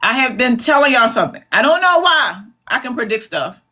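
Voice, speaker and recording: Casting note: bright, emphatic woman host, talks straight to viewers in a casual, repetitive style. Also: on the high side, big pitch swings, fairly quick. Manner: chatty, calls viewers "babies", repeats herself for emphasis. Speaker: commentary livestream host. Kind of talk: casual live commentary.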